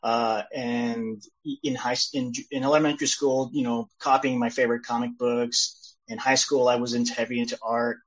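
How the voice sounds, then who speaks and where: A man, born in El Salvador and raised in California, answering interview questions in an easygoing, modest way with many pauses. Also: mid-range voice, little pitch movement. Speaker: guest illustrator and publisher. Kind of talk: casual interview chat. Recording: dull lo-fi sound.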